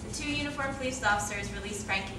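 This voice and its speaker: A woman's voice: medium pitch, fast talker, bright, forceful tone. A woman reading stage directions as scripted narration for a staged play. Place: large echoey room, live staged reading. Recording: very echoey room, distant mic, clear noise.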